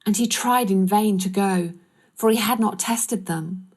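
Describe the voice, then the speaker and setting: A woman's voice: mid-range voice, unhurried, a little mellow. A woman narrating a scripture story from a script.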